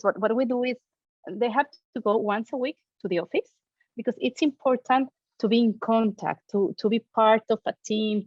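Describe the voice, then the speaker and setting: A woman's voice: medium pitch, no echo. Hesitant woman, dull dry audio. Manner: hesitant, repeats words, spoken in careful English. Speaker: agency leader, panelist. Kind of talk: online panel discussion.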